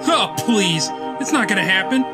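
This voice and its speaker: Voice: mid-range voice, lively intonation, moderate pace, music in the background, natural voice. A performer voicing a theatrical, self-important, deranged young man who rants manically in a comic dub. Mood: brooding, sardonic. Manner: theatrical ranting, bursts into shouts. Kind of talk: dramatic comic dub voice acting.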